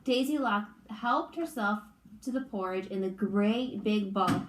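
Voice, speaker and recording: A woman's voice: mid-range voice, unhurried, echoey room, a little hiss or hum, rough recording. A woman reading a children's storybook aloud like a teacher, expressively and in simple phrasing for young listeners.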